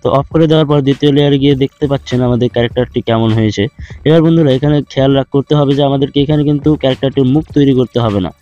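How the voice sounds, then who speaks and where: A man narrates a screen tutorial at a brisk clip, calling his viewers friends. His voice is mellow and dark. The recording is dull and lo-fi, with faint music underneath. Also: mid-range voice. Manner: steady instructional, addresses viewers as friends. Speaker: tutorial host.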